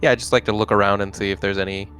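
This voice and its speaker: A man with a fairly low voice takes part in a tabletop roleplaying game. He talks in a loose, casual way as a player. Music plays behind his voice. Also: fairly even intonation, racing, fairly bright tone, clean tone, poor audio.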